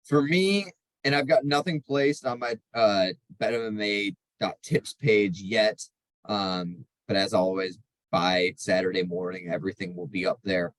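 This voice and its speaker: A man's voice: on the low side, fairly even intonation, unhurried, dry recording, low-quality recording. A man co-hosting a podcast, giving his betting takes in an emphatic way with frequent ums. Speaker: podcast co-host. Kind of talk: casual betting chat.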